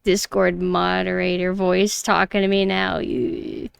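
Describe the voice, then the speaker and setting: A young woman with a high voice, chatting casually and snarkily on a stream, her close mic clipping on loud peaks. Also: little pitch movement, measured pace, creaky, smooth, no echo, lo-fi sound. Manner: chatty, rambling, mockingly snarky. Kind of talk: casual reaction stream chat. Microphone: close mic that clips loud peaks.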